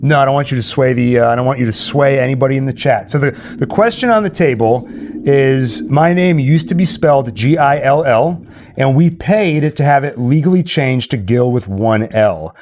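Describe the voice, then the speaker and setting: A man's voice: medium pitch, moderate pace, a little mellow. A man hosting a live stream in casual banter, telling stories and running a quiz with playful asides to the chat. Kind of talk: casual livestream banter and storytelling. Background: music.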